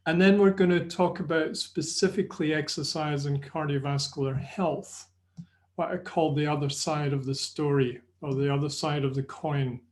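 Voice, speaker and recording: Scottish guy, middle-aged, running a webinar on a webcam, kinda higher voice, lots of ums. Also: steady tone, normal speed, darkish tone. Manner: friendly, chatty, with frequent fillers and restarts. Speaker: webinar presenter. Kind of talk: live online lecture. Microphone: video call webcam.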